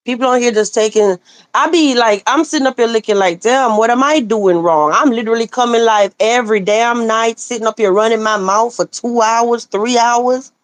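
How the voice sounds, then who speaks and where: A woman livestreaming casual commentary, animated and sassy, answering viewer comments, her voice a little hoarse. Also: a little high, moderate pace, no echo. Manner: animated, sassy, talks back to chat comments. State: slightly hoarse. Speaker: livestreamer reading chat.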